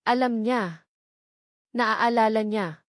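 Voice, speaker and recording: A woman's voice: fairly high pitch, slow pace, dry recording, studio quality. A woman reading a scripted romance story as a dramatic narrator.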